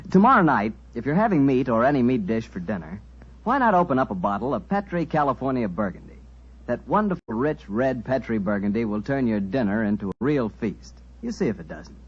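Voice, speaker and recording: A man hosting as a radio announcer in 1940s style, with a folksy, persuasive sponsor-pitch delivery. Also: husky. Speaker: announcer and host. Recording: dull vintage 1940s radio recording.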